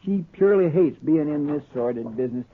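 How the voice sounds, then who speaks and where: An actor playing an earnest, soft-hearted and deferential American lawman's helper in a 1950s radio western, with a folksy way of talking. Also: on the high side, animated, brisk. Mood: earnest, admiring. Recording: muffled, narrow-band old radio recording.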